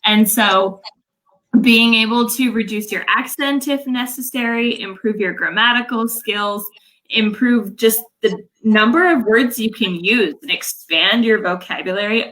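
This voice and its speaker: A woman's voice: medium pitch, moderate pace, fairly bright tone, poor audio. A young woman from Utah, a language coach, explaining her work earnestly in a casual livestream interview, often starting with "so" and "um". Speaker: English language coach, guest.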